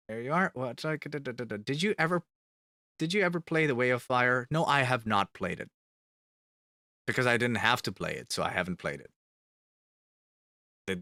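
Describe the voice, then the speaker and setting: A man's voice: lowish voice, pushed and bright, no echo, studio quality. A man chatting casually on a live stream, reading fan questions aloud and answering them, repeating words for emphasis. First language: Finnish. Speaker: band member streaming to fans.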